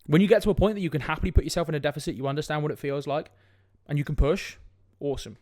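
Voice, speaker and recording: A man's voice: fairly high pitch, racing, darkish tone, no room sound, studio quality. A young man with a sniffly cold, hosting a casual solo fitness podcast in a direct, laid-back way with frequent "right?" asides. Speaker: fitness coach podcast host.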